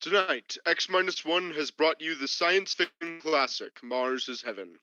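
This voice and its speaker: A man performs a solemn announcer from a 1950s radio science fiction show. His delivery is very slow and portentous, with a bright edge. The sound is slightly muffled, with faint music underneath. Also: a little high. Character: solemn old-time radio sci-fi announcer.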